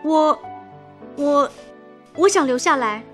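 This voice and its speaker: An actress playing an earnest, idealistic young daughter in a radio drama, speaking with sensitive, pleading emotion. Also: female voice, high-pitched, expressive, slow, a bit bright, faint music behind, heavily acted. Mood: hesitant resolve.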